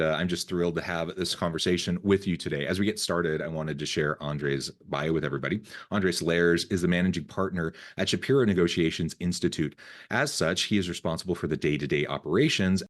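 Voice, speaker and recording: A man hosts an interview podcast in an upbeat, enthusiastic way. He sounds like he is talking over a remote call link. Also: deep voice. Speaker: podcast host. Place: home, south of Salt Lake City.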